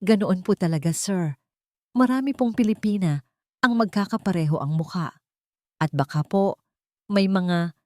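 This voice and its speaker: A woman's voice: mid-range voice, animated, normal speed, pauses often, warm tone, dry recording, lightly acted. Woman reader as a polite guarded nurse with a secret, controlled and professional, audio drama, dry recording. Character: composed, secretive young nurse hiding a mother's identity.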